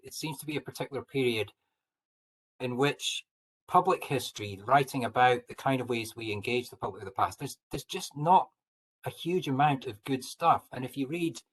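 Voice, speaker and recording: Man, mid-pitched, husky voice. Historian making an earnest, appreciative comment and question from the audience. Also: fairly bright tone, rough recording. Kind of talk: informal academic comment and question.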